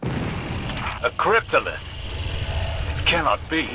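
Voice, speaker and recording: An actor playing a stern, brooding older scholar-warrior in a video game, speaking in a formal, imperious, deliberate way. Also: male voice, fairly high pitch, wildly swooping pitch, background noise, heavily acted. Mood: grim, commanding. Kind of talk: dramatic video game voice acting.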